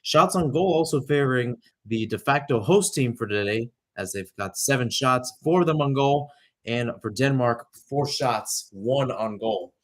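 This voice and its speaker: A man's voice: mid-range voice, measured pace, a bit bright. A young adult man giving radio-style sports play-by-play commentary with emphatic announcer delivery.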